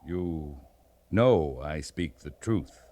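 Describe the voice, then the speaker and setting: An actor playing a resolute American army captain in an old-time radio western, speaking in a measured, authoritative way. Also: male voice, extremely low pitch, expressive, mellow, full character voice. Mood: firm, persuasive. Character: resolute, plain-spoken U.S. Army cavalry captain in the Old West. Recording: vintage radio drama recording.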